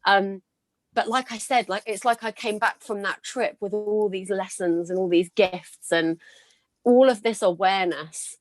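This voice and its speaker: A woman with a slightly gravelly voice hosts a chat and tells stories in an earnest, encouraging tone. Her pitch is medium, and the recording is close and dry with no room sound. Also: measured pace, no room sound. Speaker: podcast host. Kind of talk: casual interview chat.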